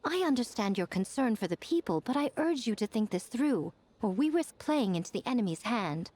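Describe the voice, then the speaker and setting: A woman's voice: on the high side, big pitch swings, moderate pace, a little mellow. A woman playing a poised, shrewd female advisor in a video game, articulate and knowing. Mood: knowing, cautioning. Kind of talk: scripted video game voice acting.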